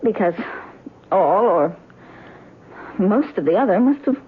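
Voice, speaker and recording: An actress playing a frightened, uneasy wife in a 1970s radio drama, with halting, suspenseful delivery, on a thin, noisy recording. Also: female voice, medium pitch, expressive, breathy edge, narrow, tinny audio. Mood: uneasy, suspicious. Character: frightened wife uneasy in her haunted home.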